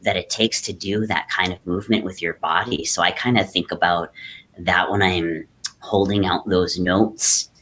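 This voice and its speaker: Reflective male guest, low-pitched, soft and unhurried, storytelling manner. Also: steady tone, a little hiss or hum, poor audio. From Great Lakes region, North America. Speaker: guest artist, hoop dancer. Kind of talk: conversational interview, storytelling.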